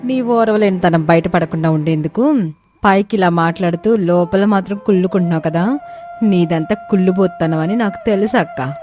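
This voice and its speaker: A woman's voice: lowish voice, moderate pace, darkish tone, soft background music, natural voice. A woman voicing a haughty, spiteful young daughter-in-law in a story, scornful and snappish.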